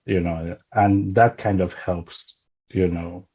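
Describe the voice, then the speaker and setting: A man with a very low, warm voice talks things through thoughtfully, checking in with "right?" as he goes. His voice is a little husky and the recording sounds dull and lo-fi. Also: fairly even intonation, takes it slowly. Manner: thoughtful, repeats "right?" and "you know". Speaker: guest. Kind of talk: casual conversation.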